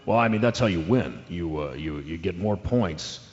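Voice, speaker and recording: A man playing a dim, earnest ex-football player on a sports radio show, halting as he states the obvious, in a radio drama. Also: deep voice, lively intonation, a little mellow. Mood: earnest, explaining. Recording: dull lo-fi sound, long hall reverb.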